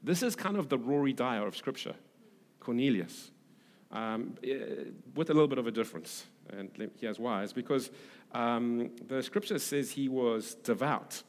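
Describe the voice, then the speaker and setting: In a hall with long reverb, a South African man delivers a sermon in a medium-pitched voice. His delivery is conversational and a little halting, and he repeats phrases to press a point home. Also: lively intonation. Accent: South African English. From Durban, South Africa. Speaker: preacher, pastor. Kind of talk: sermon with scripture reading and conversational asides. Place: large reverberant hall, preaching to a congregation.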